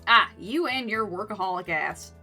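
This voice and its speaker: Woman acting out a teasing animal coffee shop mother, Southern US accent, folksy, friendly, forceful bright tone. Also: medium pitch, expressive, moderate pace, soft background music, full character voice. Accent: American Southern.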